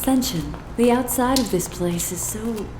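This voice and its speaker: An actress playing a soft, thoughtful woman on a team in an audio drama. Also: fairly high pitch, measured pace, close to an everyday voice. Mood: awed. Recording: echoey space with clear noise.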